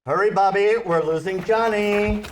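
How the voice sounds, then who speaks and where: A man as a high-pitched flamboyant paramedic diva. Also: normal speed, natural voice. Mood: urgent, campy. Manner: campy, theatrical. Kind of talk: live table read of comedy script.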